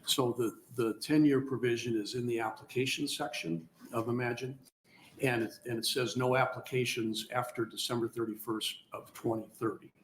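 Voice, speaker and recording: Brief: mid-pitched man, careful witness at a hearing, faint room ambience. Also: fairly even intonation, normal speed, a little hiss or hum, low-quality recording. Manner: careful, explanatory, with uh fillers. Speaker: economic development witness. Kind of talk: formal public testimony.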